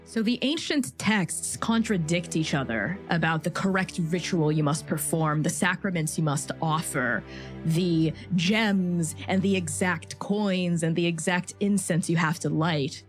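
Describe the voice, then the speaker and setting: A woman with a somewhat low voice tells tabletop game lore. Her delivery is measured and formal, like storytelling. Gentle music plays behind her. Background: background music.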